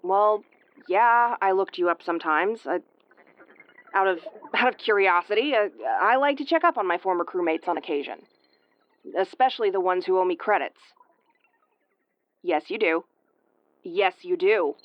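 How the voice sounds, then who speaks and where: A woman's voice: medium pitch, normal speed, long pauses, dry recording, lo-fi sound, natural voice. A woman playing a brash, easily flustered starship commander with a tomboyish, brusque, sweary delivery in an audio roleplay. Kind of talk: audio roleplay acting, spoken to the listener.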